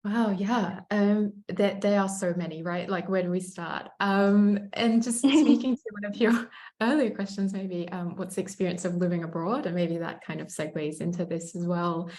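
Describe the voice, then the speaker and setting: A woman from Singapore speaking thoughtfully as a mediator on a panel, pausing often with ums. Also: medium pitch, steady tone, darkish tone. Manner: thoughtful, many pauses and ums. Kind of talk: reflective panel talk.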